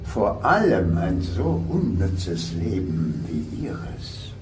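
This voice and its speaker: An actor playing a cold, sadistic killer in a radio play, taunting and deliberate in his menace. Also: sits low, big pitch swings, noisy background, heavily acted. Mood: smug, menacing. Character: cold sadistic killer, wealthy inventor's son. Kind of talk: German audio drama acting. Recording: roomy, slightly distant studio staging under music.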